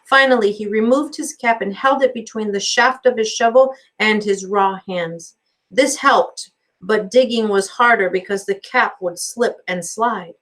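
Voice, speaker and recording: A woman narrating a children's novel aloud as a teacher would, with expressive storybook delivery. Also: mid-range voice, unhurried.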